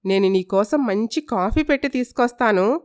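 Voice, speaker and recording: A woman voicing an excited, doting middle-aged mother-in-law in a cartoon story, gushing and fussy. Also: fairly high pitch, moderate pace, close to an everyday voice. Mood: delighted, fussing.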